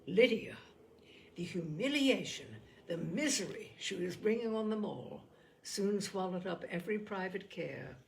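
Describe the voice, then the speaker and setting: A woman reading literary fiction as an audiobook narrator, with measured, dramatic phrasing and expressive delivery. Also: medium pitch, vocal fry, a little hiss or hum.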